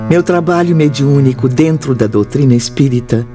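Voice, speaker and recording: A performer playing an earnest, devout spiritist medium, narrating an audio drama in a measured, formal, solemn way. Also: medium pitch, warm tone, faint music behind, lightly acted. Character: young spiritist medium, earnest and devout, recalling past work.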